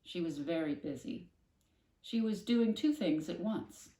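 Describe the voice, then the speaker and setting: A woman reading a children's book aloud, gently explaining things to young listeners between passages. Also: fairly low pitch, animated, a little slow. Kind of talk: read-aloud storytelling with casual asides. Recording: echoey room.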